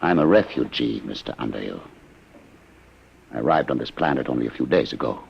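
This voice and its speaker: An actor playing a weary, haunted older refugee inventor, courteous and grave, with a husky, gravelly voice, in old radio drama. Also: male voice, a bass voice, lively intonation, a little fast, exaggerated voice. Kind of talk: 1950s radio drama acting. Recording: dull vintage radio recording.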